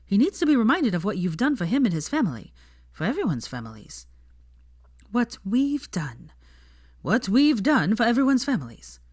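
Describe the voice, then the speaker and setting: A woman's voice: medium pitch, sing-song, normal speed, a little mellow, dry recording, clean studio sound, pitched above the performer's own voice. A woman voicing an earnest, proud, prickly young woman in an audiobook story, intense and emphatic. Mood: stern, indignant. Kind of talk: fan fiction audiobook character voice.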